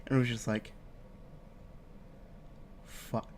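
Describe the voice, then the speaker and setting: A man's voice: slow, darkish tone. Sniffly young man swearing through casual chat. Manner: rambling, heavy swearing, many fillers. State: sniffly from a cold. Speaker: podcast co-host. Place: home studio, live-streamed.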